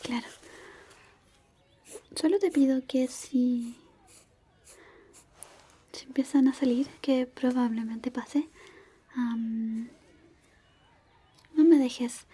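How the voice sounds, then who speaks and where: Girl classmate asmr played by a woman, super soft dark breathy half whisper, gentle n a bit hesitant. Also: high-pitched. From Chile. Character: shy, caring female high school classmate sketching a friend. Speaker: ASMR roleplayer. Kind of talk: soft-spoken ASMR roleplay.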